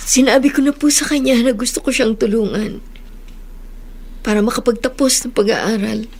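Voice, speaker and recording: A woman's voice: on the high side, a little mellow. An actress playing a generous but wounded woman employer in an emotional Filipino radio drama. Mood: holding back tears. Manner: emotional, halting, pleading. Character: tearful, wounded woman employer, generous, longing for parents' love. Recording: radio drama with music underneath.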